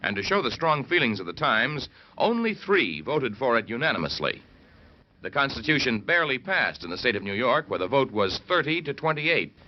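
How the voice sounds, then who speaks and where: A man's voice: mid-range voice, very animated, normal speed. An American man giving scripted historical narration in an earnest, rhetorical way. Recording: muffled old radio recording, faint noise.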